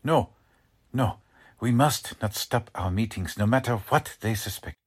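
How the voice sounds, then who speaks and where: A man playing a deep, husky, anxious family patriarch. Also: a little slow. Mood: resolute. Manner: grave, earnest, formal phrasing. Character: anxious German-American family patriarch, urgent but principled. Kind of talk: 1940s radio drama acting.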